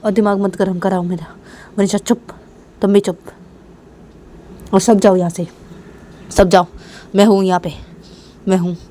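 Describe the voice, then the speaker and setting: A woman's voice: medium pitch, little pitch movement, a little slow, pauses often, dark tone, lightly acted. A woman voicing a hot-tempered, bossy young mother in a told family story, scolding and repeating her demands. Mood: menacing, irritated.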